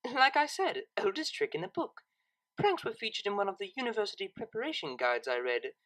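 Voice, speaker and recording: A woman's voice: mid-range voice, sing-song, fairly quick, fairly bright tone, pitched above the performer's own voice. A woman voicing a refined, naive young cellist pony in an audio story, with a proper, tentative manner. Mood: bookish, flustered. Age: young adult.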